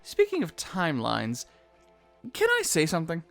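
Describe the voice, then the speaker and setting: A man's voice: a little high, huge pitch swings, normal speed, creaky, fairly bright tone, faint music behind. A man co-hosting a fan recap, giving opinionated, casual commentary peppered with swearing. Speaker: co-host of a fan recap show. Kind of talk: animated fan commentary with ranting.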